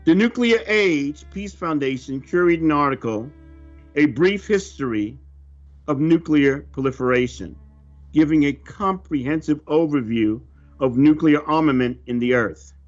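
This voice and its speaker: A man reading a scripted religious report aloud in a solemn, deliberate, emphatic manner. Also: fairly high pitch, animated. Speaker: religious reader of a prophecy report. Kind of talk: scripted narration, read aloud. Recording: dull lo-fi audio under music. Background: music.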